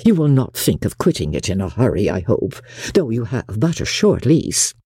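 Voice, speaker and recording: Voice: mid-range voice, very animated, moderate pace, warm tone, husky, no room sound, clean studio sound, voice pushed down, heavily acted. An audiobook narrator voicing a fussy, effusive middle-aged mother with a gushing, emphatic manner in a period novel.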